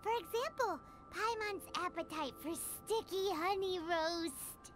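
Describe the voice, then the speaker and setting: A woman voicing a tiny, childlike fairy companion from a video game, squeaky, cheery and fond of food. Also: takes it slowly, slight background noise. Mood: chirpy, encouraging. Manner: refers to self in third person, cheery.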